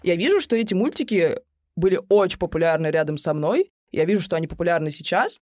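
A woman's voice: lowish voice, expressive, fast, a little mellow, no room sound, faint music behind. A young woman co-hosting a casual chat, talking in a colloquial, rambling way with plenty of slang.

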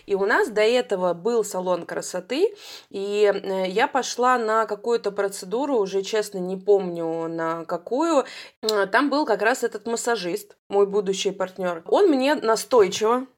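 Woman in her thirties, interview guest, rambling conversational storytelling full of pauses and fillers. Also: mid-range voice, expressive. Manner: chatty, hesitant, frequent "uh" fillers and self-corrections. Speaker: guest, psychotherapist and coach.

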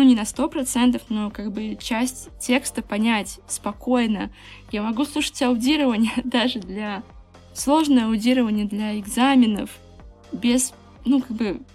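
Young female podcast host, solo confessional talk, higher voice, faint music bed. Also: darkish tone. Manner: candid, restarts often, nervous laughs. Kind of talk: personal podcast monologue.

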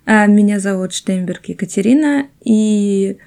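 A woman's voice: medium pitch, a little slow, gravelly, warm tone. Chatty woman in her early thirties. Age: early 30s. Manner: chatty, informal storytelling. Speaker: podcast co-host. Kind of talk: casual chat among co-hosts.

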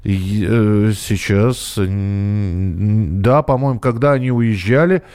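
A man's voice: lowish voice, slow pace, darkish tone, clear, resonant tone. A man hosting a casual live radio phone-in, talking in a hesitant way as if thinking aloud. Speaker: radio host.

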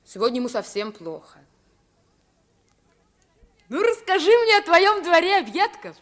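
A woman's voice: extremely high pitch, very animated, measured pace, heavily acted. A woman playing a sheltered, self-assured young prince who is curious and genteel, in a radio play. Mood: confiding, curious. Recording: vintage 1950s radio play recording.

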